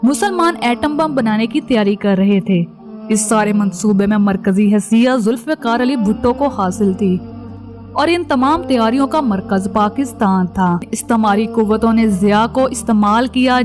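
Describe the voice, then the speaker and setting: A woman's voice: a little high, normal speed. A woman reading a scripted documentary narration in a formal news-report manner. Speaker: narrator and channel presenter. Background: music.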